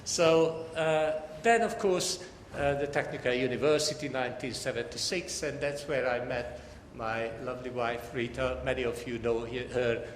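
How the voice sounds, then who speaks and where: An older man from Hungary with a Hungarian accent gives a formal academic lecture as a professor, in measured phrases that often pause mid-sentence. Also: medium pitch, steady tone. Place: large lecture hall, talking to an audience. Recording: echoey lecture hall with background noise.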